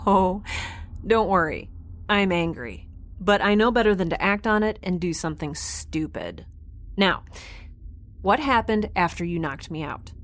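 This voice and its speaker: A woman's voice: fairly low pitch, slight background noise. An actress playing a quirky, stubborn young woman scientist in a spaceship audio drama, rambling and earnest. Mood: defiant. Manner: rambling, babbling, animated, earnest.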